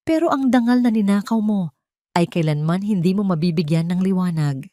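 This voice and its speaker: A woman voicing a wronged wife in an audio drama, dignified, steady and quietly vengeful. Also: mid-range voice, a little fast, no echo, clean studio sound, close to an everyday voice. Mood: quiet defiance. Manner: steady, resolute.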